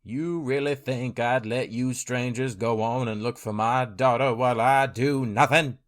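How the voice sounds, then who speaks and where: A man voices a distraught older village father for a fantasy audio drama. He is gruff and grumbling, with halting rustic speech at a middling pitch. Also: fairly even intonation, lightly acted. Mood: offended.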